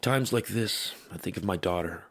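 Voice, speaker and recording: An actor playing a weary, determined military veteran with failing implants in an audio drama, gritty and urgent. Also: male voice, low-pitched, normal speed, a bit bright. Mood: grim narration. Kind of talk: cinematic audio drama acting with noir narration.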